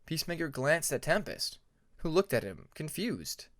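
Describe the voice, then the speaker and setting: A man's voice: on the high side, big pitch swings, moderate pace, a bit bright, dry recording, studio quality. A man reading a fantasy story aloud as a scripted audiobook narration. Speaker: audiobook narrator of fan fiction.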